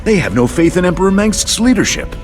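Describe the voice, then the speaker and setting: An actor playing a slick, pompous television news anchor in a satirical video game newscast. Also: male voice, high-pitched, very animated, fairly quick, soft background music. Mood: insistent, grave.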